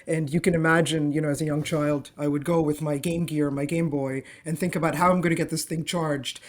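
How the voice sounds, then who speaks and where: A man from Dubai, a company co-founder, answering interview questions over a remote call with energetic explanations and frequent "um" and "you know.". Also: a little high, brisk. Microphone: calling in remotely.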